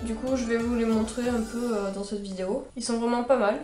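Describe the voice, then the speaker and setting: A woman's voice: on the high side, fairly even intonation, brisk, roomy sound, over music. A young woman with a cold and a stuffy nose, chatting her way through a makeup tutorial with many fillers and restarts. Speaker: beauty vlogger.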